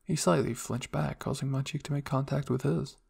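Gravelly man narrating a story. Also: medium pitch, fairly even intonation, a little fast, darkish tone. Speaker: fan fiction narrator. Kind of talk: first-person story reading, ASMR roleplay.

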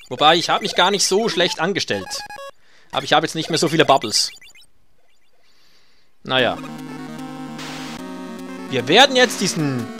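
Male let's-player, somewhat high voice, dry sarcasm, faint game music underneath. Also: pushed and bright. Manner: sarcastic, swears when hit. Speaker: let's play gamer. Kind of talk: casual gameplay commentary.

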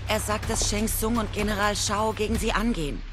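A stern female warrior of the royal guard voiced by an actress speaks with solemn resolve and a sense of old guilt. It is dramatic video game dialogue, with music clearly behind it. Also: medium pitch, a little fast, natural voice. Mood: defiant, urgent.

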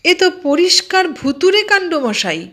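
A woman voicing a nosy, excitable man in an audio story, eagerly questioning and exclaiming. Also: very high-pitched, normal speed, pitched above the performer's own voice, exaggerated voice. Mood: curious, thrilled.

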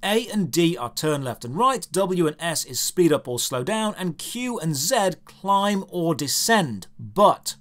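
A man narrating a scripted video game review in a sardonic, deadpan way with dry commentary. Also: fairly high pitch, unhurried, few pauses.

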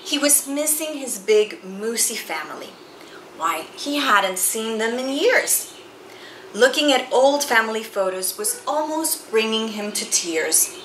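A middle-aged woman reads a picture book aloud to children, with theatrical, deliberate, emphatic phrasing. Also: on the high side, lively intonation, a bit bright. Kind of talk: expressive children's read-aloud storytelling. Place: small indoor room with bookshelves and chalkboard. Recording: roomy sound with faint background noise.

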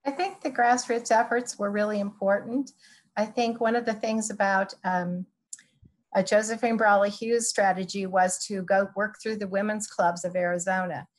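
A woman panelist explaining history on a video call, measured and explanatory, pausing with frequent ums. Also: mid-range voice, fairly even intonation. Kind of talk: panel discussion answers.

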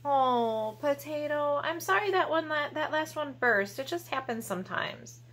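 A woman's voice: high-pitched, lively intonation, a little slow, a bit bright. A woman in her thirties presenting a children's storytime in a playful, exaggerated, encouraging manner, recorded in a small room at home. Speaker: library storytime host.

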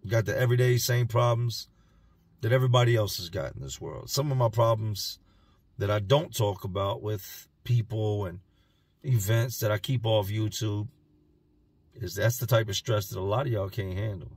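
A raspy man in his thirties talks straight to his phone from the driver's seat of a parked car. His low voice is gravelly and husky, and the car keeps the sound dry and close. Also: normal speed, a little mellow, no room sound. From Virginia, US. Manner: blunt, plain-spoken street talk. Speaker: vlogger. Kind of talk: casual talking-head vlog. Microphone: phone camera at arm's length.

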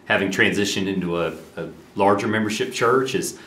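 A man's voice: fairly low pitch, brisk, a bit bright, reverberant. A pastor from Alabama reflecting conversationally on his ministry, standing in a church atrium. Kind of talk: casual group testimonial.

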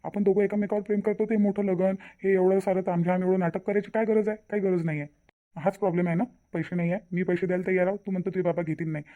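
A man's voice: sits high, level tone, fast, phone-quality sound, natural voice. A man with a Varhadi Marathi accent voicing a devoted, insistent young fiance in a cartoon family drama. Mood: persuasive. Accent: Varhadi Marathi from Vidarbha. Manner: colloquial, emphatic repetition.

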